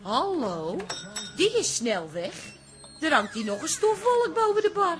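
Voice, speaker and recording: An actress playing a cheerful, gossipy barmaid in a comic radio play, telling things in a bubbly, colloquial way. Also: female voice, extremely high pitch, big pitch swings, measured pace, over music, full character voice. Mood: amused, baffled. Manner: bubbly, giggly, colloquial storytelling. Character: cheerful, chatty, gossipy female barmaid, Toos.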